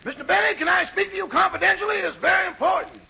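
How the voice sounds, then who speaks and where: A man playing an anxious, wisecracking manservant with a raspy, gravelly voice in a 1940s radio comedy. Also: very high voice, fast, pushed and bright, heavily acted. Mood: urgent, pleading. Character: anxious, wisecracking manservant cook addressing his boss. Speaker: comedy actor. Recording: noisy old 1940s radio broadcast.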